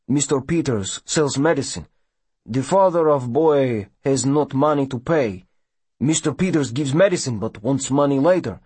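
A man voicing an earnest immigrant passenger who speaks in halting, broken English. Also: mid-range voice, a little mellow, slightly rough, dry recording, voice pushed up, natural voice. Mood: earnest, troubled.

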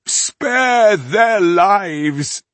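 Whining, high-pitched pleading rebel. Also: male voice, animated, very slow, a bit bright, dry recording, full character voice. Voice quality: whining, sobbing. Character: terrified, whining male rebel begging for mercy.

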